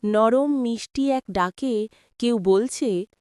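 A woman narrating a scripted cartoon story in a dramatic, measured storytelling manner. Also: a little high, mellow, clean tone, dry recording, clean studio sound.

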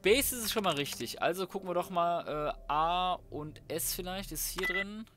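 A man's voice: sits high, moderate pace. A young adult man giving casual, slangy let's play commentary, often muttering to himself as he plays. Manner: colloquial, slangy muttering to himself while playing. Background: loud game music, typing and gunfire.

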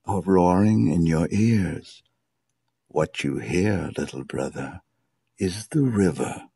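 A kindly storybook raccoon, with a very low, dark voice. He takes his time, sounding husky and reassuring as he guides a smaller creature. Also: lively intonation, slow, heavily acted. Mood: calm, reassuring. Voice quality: gravelly, husky. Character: large, kindly, slow-talking male raccoon guide. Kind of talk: storybook character acting.

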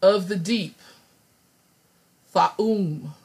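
A woman with a hoarse, raspy voice, still getting over laryngitis, teaching a scripture study lesson over slides and thinking aloud with many ums. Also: medium pitch, drawn-out words, darkish tone.

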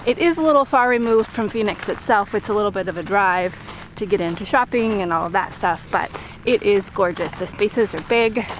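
A woman in her thirties chats easygoing and upbeat in a travel vlog, outdoors in front of a handheld camera. Her voice sits at a middle pitch, with clear background noise behind her. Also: brisk, low-quality recording. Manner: easygoing, upbeat, adds tips. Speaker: vlog co-host. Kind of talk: casual travel vlog chat. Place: outdoors in the desert. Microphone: camera held at arm's length by her partner.

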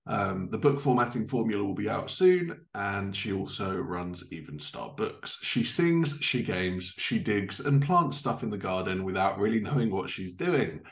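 Low-pitched man hosting a podcast. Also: animated, moderate pace, few pauses. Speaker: podcast host. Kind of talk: chatty podcast presenting and interviewing. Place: living room at home.

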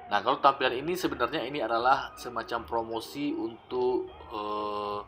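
A man narrating his old travel footage in a relaxed, explanatory voice-over, often pausing with fillers. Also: medium pitch. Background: background music.

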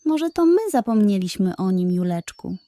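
A woman voicing a gentle, nostalgic old grandmother in a children's bedtime story. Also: mid-range voice, sing-song, normal speed, no room sound, close to an everyday voice. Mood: gently musing.

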